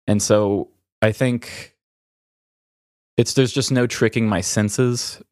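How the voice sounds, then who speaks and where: A man who hosts a podcast, talking candidly and haltingly in an emotional, reflective way while grieving. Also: fairly low pitch, steady tone, darkish tone, no room sound, studio quality. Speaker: podcast host. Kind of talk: raw, candid personal conversation.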